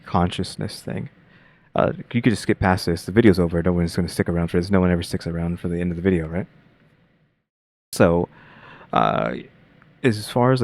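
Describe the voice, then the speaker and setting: A man's voice: low-pitched, creaky, darkish tone. A man casually commenting on a video he is reacting to, rambling with many fillers and thinking aloud. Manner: rambling, many fillers, pace jumps between slow and rushed.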